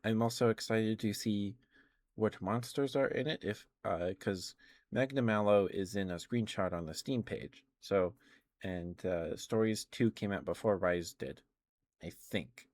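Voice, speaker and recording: A man doing casual ASMR livestream chat into a close microphone, musing in a hesitant, unhurried way. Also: lowish voice, a little mellow, no room sound.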